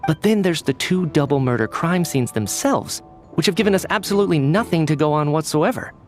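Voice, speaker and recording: Man voicing a lively, brainy young scientist. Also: fairly high pitch, fairly quick, close to an everyday voice. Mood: pondering. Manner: analytical, articulate. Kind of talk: video game voice acting. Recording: background music.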